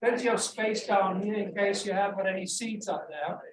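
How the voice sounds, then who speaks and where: A man chairing an academic session, making brisk announcements and introducing the next speaker. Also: sits high, slight background noise. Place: lecture hall. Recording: echoey hall from far off.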